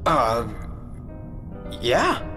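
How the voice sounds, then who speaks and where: An earnest young sidekick in a game cutscene. His medium-pitched voice is lively and swinging, casual and a little hesitant, with music behind him. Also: extremely slow, full character voice. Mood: tender, awkward. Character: boyish, friendly, earnest young male companion. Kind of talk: video game cutscene acting.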